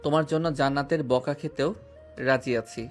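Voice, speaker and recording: A teasing young man, persistent and affectionate, chasing the woman he loves in an audio drama, as a male voice actor plays him. His voice sits at a middle pitch, with soft music playing underneath. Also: normal speed, natural voice. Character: young man, playful persistent romantic suitor. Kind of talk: Bengali audio drama acting.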